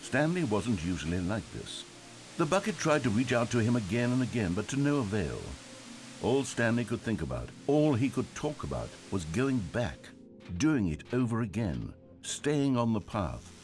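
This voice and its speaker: An actor playing a wry, theatrical storybook narrator in a video game. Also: male voice, on the low side, very animated, moderate pace, darkish tone, soft background music. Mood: wry, dramatic. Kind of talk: scripted narration.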